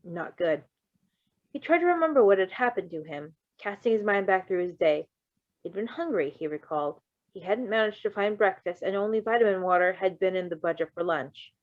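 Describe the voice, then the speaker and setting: A woman reading fan fiction aloud with casual asides, expressive and emotionally invested in the story. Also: mid-range voice, a little fast, no echo, narrow, tinny audio.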